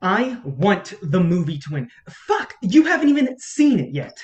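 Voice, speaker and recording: A man plays a defiant film campaign worker in a staged reading. His voice sits a little high for a man, and his manner is blunt and insistent. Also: sing-song, unhurried, dull audio. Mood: frustrated, confrontational. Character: frustrated male campaign colleague, blunt and defiant.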